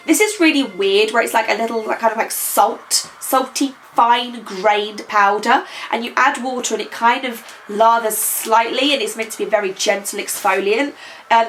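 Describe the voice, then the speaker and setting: A young woman with a slightly croaky voice, chatting casually through a beauty product review and rambling with frequent ums. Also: fairly high pitch, fairly bright tone, a little hiss or hum. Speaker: beauty vlogger. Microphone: camera.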